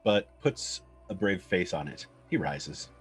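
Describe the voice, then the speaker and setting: A man's voice: sits low, lively intonation, measured pace, slightly rough, faint music behind. A man reading screenplay stage directions as a scripted narrator.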